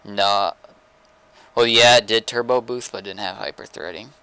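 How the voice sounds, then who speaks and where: A man's voice: on the low side, moderate pace, pushed and bright, smooth. A man chatting casually during a game in brief, laid-back replies. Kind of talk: casual chat during gameplay.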